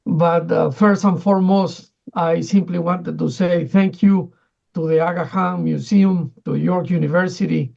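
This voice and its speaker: Man from Ecuador, high gravelly voice, halting with fillers, dull lo-fi remote audio. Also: steady tone, darkish tone. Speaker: UN division director. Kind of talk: formal remote remarks. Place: speaking remotely from another country.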